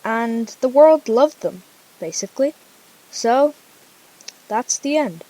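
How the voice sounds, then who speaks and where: A woman's voice: a little high, lively intonation, slow pace, mellow, rough recording. A female storyteller narrating an amateur radio drama informally, with casual conversational asides.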